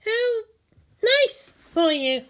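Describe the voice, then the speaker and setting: Haughty teenage girl pilot played by a female reader, extremely high, animated, boastful and indignant storybook character. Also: extremely slow, full character voice. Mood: sarcastic.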